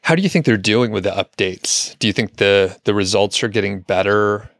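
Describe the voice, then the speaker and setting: A man hosting a podcast, with a somewhat low voice. He asks loose, easygoing questions and drops quick affirmations. The sound is dry and close. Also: fairly bright tone, no room sound. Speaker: podcast host. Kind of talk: casual interview.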